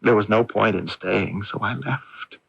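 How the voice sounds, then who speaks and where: A muffled AM radio drama recording of a gentle young son. His voice is husky and gravelly, and he speaks haltingly, repeating words as if troubled and unsure of himself. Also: fairly low pitch, dry recording, exaggerated voice. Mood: haunted, bewildered. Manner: hesitant, stammering repeats of words. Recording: band-limited 1980s AM radio broadcast.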